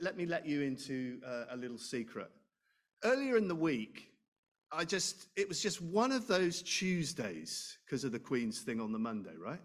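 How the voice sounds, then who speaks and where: A man preaching a sermon, loud and animated, chatting with asides and rhetorical questions. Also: a little high.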